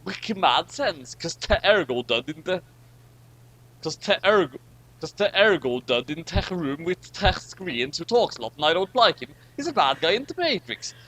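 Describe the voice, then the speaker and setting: A man's voice: fairly high pitch, sing-song, normal speed, sharp tone, low-quality recording. A man doing a dramatic, comic reading of badly written fan fiction, often stumbling over the wording.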